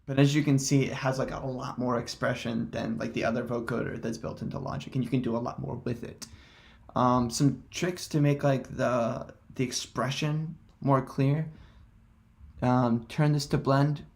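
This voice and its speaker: A man presenting a casual software tutorial in hesitant, halting, unscripted phrasing. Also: mid-range voice, fairly even intonation, vocal fry.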